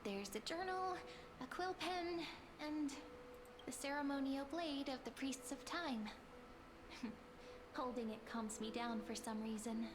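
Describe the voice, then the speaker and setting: A woman's voice: high-pitched, a little slow, background noise. A woman voicing a tender, hopeful young companion in a video game, encouraging and playful in manner. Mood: gently playful. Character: gentle, affectionate, hopeful young female companion.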